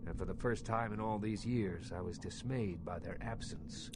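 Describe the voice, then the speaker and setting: A man voicing an imperious, territorial underworld boss in a video game, speaking in formal, measured, eloquent phrases with cool menace. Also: lowish voice, background noise, close to an everyday voice. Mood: grave, concerned. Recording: music behind the voice.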